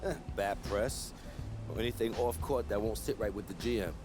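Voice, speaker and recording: A man's voice: on the low side, moderate pace, music in the background, close to an everyday voice. A man acting a loyal, streetwise American sports agent in a game cutscene, persuasive and speaking in punchy short phrases. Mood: advising. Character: loyal streetwise male sports agent advising a young player.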